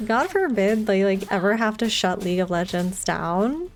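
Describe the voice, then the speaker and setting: A woman streaming a game and chatting casually, unhurried and rambling as she thinks aloud. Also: mid-range voice, expressive. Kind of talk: casual livestream chat over gameplay.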